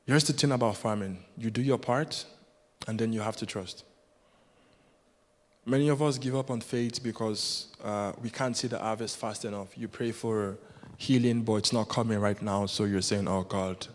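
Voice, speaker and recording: A man's voice: on the low side, fairly even intonation, moderate pace. Man preaching in a reverberant church. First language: Yoruba. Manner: earnest, rhythmic, builds with repetition. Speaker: guest preacher. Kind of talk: sermon. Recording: long hall reverb.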